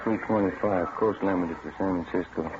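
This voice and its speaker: A man playing a brisk, alert police detective partner with clipped phrases in a vintage radio drama. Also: low voice, fairly even intonation, a crowd in the background, natural voice. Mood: matter-of-fact. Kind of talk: 1950s radio drama acting. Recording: dull, very noisy old radio recording.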